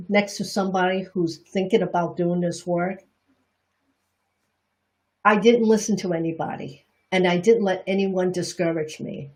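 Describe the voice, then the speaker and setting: A woman's voice: lowish voice, darkish tone. A middle-aged woman with a Chinese Bostonian accent, teaching reflexology on a livestream in a chatty, candid way, breaking off and restarting her sentences.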